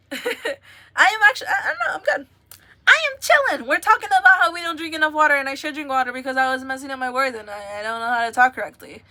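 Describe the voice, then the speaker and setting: A woman's voice: sits high, expressive, quick pace, sharp tone. A young woman from the United States, chatting casually and giggling as she rambles to viewers on a livestream.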